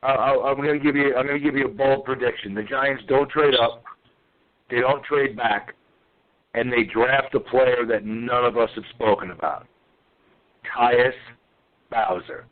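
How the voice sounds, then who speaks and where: A man, co-hosting a casual sports podcast, animated, swearing and tripping over his words. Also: mid-range voice, unhurried. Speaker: podcast co-host. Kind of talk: casual sports call-in chat. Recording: tinny phone-like line.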